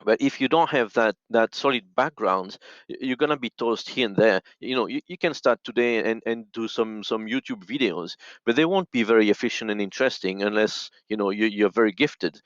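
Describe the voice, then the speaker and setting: Low-voiced man, dry and dull sound. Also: brisk, no echo. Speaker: audio and radio expert, podcast guest. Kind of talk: conversational advice in an interview. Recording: dull, dry lo-fi call audio.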